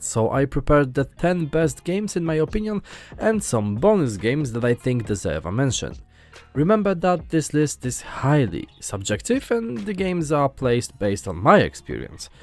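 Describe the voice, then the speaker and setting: A young man from Poland with a Polish accent, earnestly narrating a scripted gaming video as host. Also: fairly high pitch.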